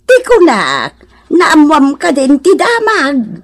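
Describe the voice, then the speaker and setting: A gossipy older village woman of radio comedy drama with an Ilocano accent. She nags and bickers in a high voice, drawing out her words slowly and with animated swings. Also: full character voice. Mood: nagging. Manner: nagging, gossipy.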